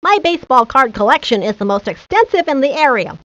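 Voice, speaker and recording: A woman's voice: high-pitched, big pitch swings, a little fast, fairly bright tone, no room sound. A woman voicing a nerdy, pedantic young boy who speaks in precise, wordy scripted lines for a video game. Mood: pedantic, self-assured. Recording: dull, lo-fi 1990s game audio.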